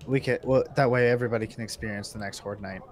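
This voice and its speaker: Male co-player, fairly low voice, casual gaming talk, slight background noise. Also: steady tone, fast talker. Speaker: co-player on a game stream. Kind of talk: casual chat while gaming. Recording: faintly noisy online game chat.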